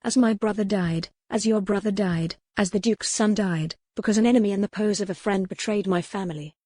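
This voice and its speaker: A woman's voice: medium pitch, brisk, warm tone, no room sound, studio quality, lightly acted. A woman narrator playing a proud young noblewoman, formal and earnest, defiant yet remorseful.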